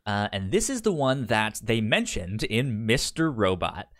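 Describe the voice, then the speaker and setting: A man co-hosting a podcast in casual, unscripted chat, with a stutter that repeats first syllables and a halting, explanatory way of talking. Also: lowish voice, very animated. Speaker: podcast co-host.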